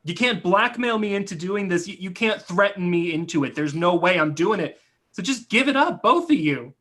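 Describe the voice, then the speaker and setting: Guy acting a bitter defiant writer in a play, high bright voice, stammers when protesting. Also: animated, normal speed. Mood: bitter, stubborn. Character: embittered screenwriter brother, indignant, wounded and defiant. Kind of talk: dramatic play reading.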